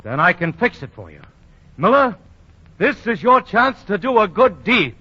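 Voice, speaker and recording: A man's voice: sits high, sing-song, normal speed, pushed and bright, slightly rough, full character voice. A flustered, stammering young man. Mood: pressing. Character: harried young man, the old man's son. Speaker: actor. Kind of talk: 1940s radio acting. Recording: dull old radio broadcast.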